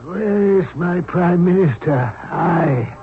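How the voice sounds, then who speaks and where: An actor playing a dying Egyptian pharaoh, solemn and halting, in a 1970s radio drama. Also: male voice, high voice, lively intonation, full character voice. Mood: weak, commanding. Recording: muffled old radio broadcast, faint music.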